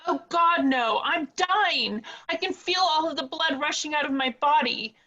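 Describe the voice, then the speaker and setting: Woman playing a high, melodramatic wounded victim. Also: a little slow, sharp tone. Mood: overwrought agony. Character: melodramatic wounded victim wailing about dying. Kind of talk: amateur screenplay table read. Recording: dull, muffled lo-fi audio.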